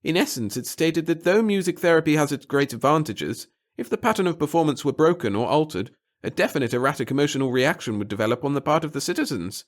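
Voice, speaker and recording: A man's voice: fairly high pitch, animated, fast, hardly any pauses, pitched above the performer's own voice, lightly acted. A man voicing a small, nervous, fussy bureaucrat in an audiobook, speaking in formal, wordy, obsequious sentences. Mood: alarmed, confiding. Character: little wizened fussy nervous male bureaucrat with hidden cunning. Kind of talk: audiobook character acting.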